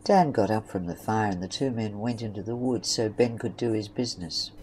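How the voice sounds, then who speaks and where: A woman's voice: a bass voice, fairly quick, darkish tone, breathy edge, poor audio. A woman reading scripted narration for an audio drama story.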